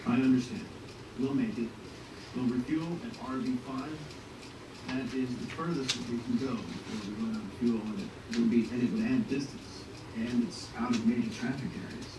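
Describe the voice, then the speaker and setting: A man plays an officious, stubborn rocket pilot from a retro space serial. The pitch is average for a man. The room echoes and there is clear noise around the voice. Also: moderate pace, recorded from a distance, close to an everyday voice. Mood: resolute. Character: officious male 1940s space pilot hero, stubborn, chauvinist. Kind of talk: table read of a retro space adventure script.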